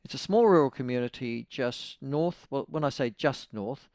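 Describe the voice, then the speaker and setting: A man's voice: mid-range voice, animated, normal speed, a little mellow, no room sound. A man hosting a talk radio interview, wry and conversational, with leading questions. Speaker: radio host.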